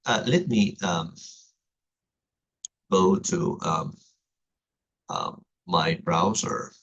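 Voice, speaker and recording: A man in his thirties from Egypt with an Egyptian Arabic accent, a native Arabic speaker, gives a recorded online class introduction as a university writing instructor, talking carefully with many pauses and fillers. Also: low voice, vocal fry, a bit bright, slightly rough, muffled recording. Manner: hesitant, many fillers, careful explanatory delivery. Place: home, late at night.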